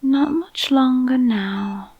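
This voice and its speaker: Slow whispery female vampire scientist, acted by a woman. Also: high voice, lo-fi sound, heavily acted. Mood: hushed, enticing. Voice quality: whispery, breathy. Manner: slow, soothing, deliberate. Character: calm, clinical female vampire scientist overseeing a sinister experiment. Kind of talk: ASMR roleplay.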